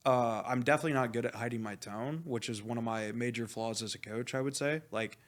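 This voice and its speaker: Male coach, animated and outspoken, rambling candidly in a casual interview, mid-range voice. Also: medium pitch, a little fast. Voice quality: loud voice. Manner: animated, rambling, heavy use of "like"; blunt, swears freely. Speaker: esports coach being interviewed.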